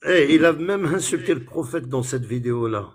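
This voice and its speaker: A man's voice: a little high, lively intonation, moderate pace, slightly rough. A man from France talking on a phone live stream, indignant and repetitive, dropping Arabic words into his speech. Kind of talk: heated casual live-stream rant.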